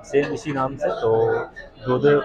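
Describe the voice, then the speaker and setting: A man's voice: mid-range voice, steady tone, measured pace, loud music behind the voice. Young man vlogging on a phone. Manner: chatty, repetitive, walks while filming. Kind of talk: casual vlog narration. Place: outdoors in city streets and a busy restaurant. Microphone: phone held at arm's length. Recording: dull lo-fi phone audio with crowd. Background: crowd chatter.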